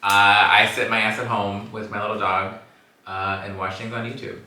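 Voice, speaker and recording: A man hosting a podcast in casual chat, playful and teasing, quick to laugh, from Los Angeles. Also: fairly low pitch, level tone, bright, forceful tone, recorded from a distance. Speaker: podcast host. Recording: echoey room, voice a bit off-mic.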